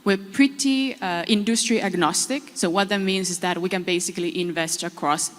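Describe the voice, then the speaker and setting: A woman's voice: medium pitch, moderate pace. A woman with a Finnish accent introducing herself as an investment panelist to a conference audience. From Finland. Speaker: panelist, venture capital investment manager. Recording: echoey hall PA microphone.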